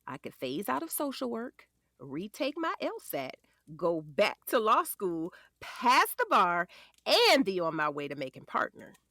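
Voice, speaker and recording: A woman's voice: medium pitch, sing-song, measured pace, sharp tone, no echo, studio quality, full character voice. A woman playing a doting but firm wife and mother in her forties, teasing and sassy, in a naturalistic audio drama.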